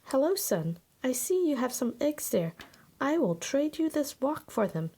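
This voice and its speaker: A woman's voice: high voice, sing-song, measured pace, mellow, exaggerated voice. A woman playing a small, friendly, sly old man trading at market in a storybook. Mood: friendly offer.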